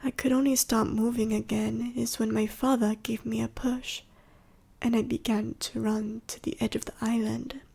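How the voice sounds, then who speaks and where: A woman playing an ancient, dying female dragon in an ASMR roleplay, telling a sorrowful life story slowly and haltingly in a breathy, raspy whisper. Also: a little high, flat delivery, warm tone, full character voice. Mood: somber, reminiscing. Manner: halting, slow, solemn storytelling. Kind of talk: ASMR audio roleplay monologue to the listener.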